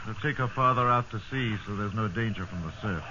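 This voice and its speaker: An actor playing a weary, commanding ship's captain adrift in a lifeboat, in 1950s radio drama style with measured delivery. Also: male voice, fairly low pitch, background noise, lightly acted. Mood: weary, steady. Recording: dull old radio, loud sea ambience.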